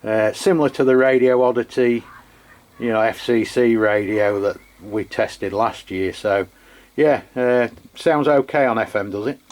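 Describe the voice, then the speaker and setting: An English man hosting a radio demo in casual unscripted chat, halting and rambling as he corrects himself. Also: medium pitch, a little mellow, slight background noise. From England. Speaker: amateur radio channel host. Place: beside his radio set, filming the equipment.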